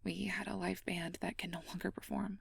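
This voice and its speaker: A woman playing a stressed, self-blaming young air mage who confides haltingly and half-whispered in an intimate ASMR role-play. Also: fairly low pitch, little pitch movement, vocal fry, breathy edge, no echo, heavily acted. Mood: anxious, weary.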